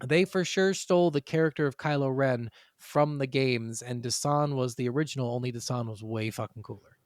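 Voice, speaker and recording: A man's voice: mid-range voice, a little fast, no echo, studio quality. A man co-hosting a gaming podcast, chatting casually in rambling explanations peppered with swearing. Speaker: podcast co-host. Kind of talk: casual chat about video games.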